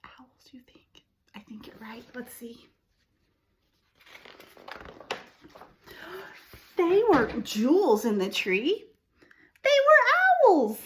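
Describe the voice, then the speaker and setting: Female storytime reader for young kids: unhurried pace, slightly high voice, expressive, explains and asks questions. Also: slight background noise. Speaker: storytime host reading to children. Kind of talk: read-aloud picture-book storytelling with explanations for young children.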